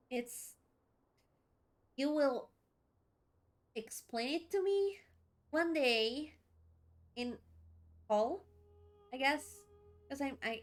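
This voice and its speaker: A woman chatting casually as she streams a video game, expressive, exclamatory and rambling. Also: fairly high pitch. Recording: faint game music behind.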